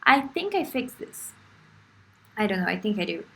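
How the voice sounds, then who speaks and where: A woman's voice: medium pitch, big pitch swings, moderate pace, gravelly. Brazilian woman chatting with self-deprecating laughs. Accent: Brazilian Portuguese. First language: Portuguese. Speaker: art vlogger. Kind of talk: casual voice-over narration of a craft video. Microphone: phone.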